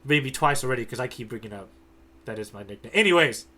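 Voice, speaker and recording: Bright-voiced man, animated podcast chat. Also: medium pitch, fast talker. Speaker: podcast co-host, tour guide. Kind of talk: casual recap chat about a manga.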